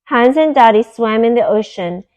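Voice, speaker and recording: A woman reading a children's picture book aloud in a deliberate, teacherly way, explaining words as she goes. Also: on the high side, lively intonation, a little mellow.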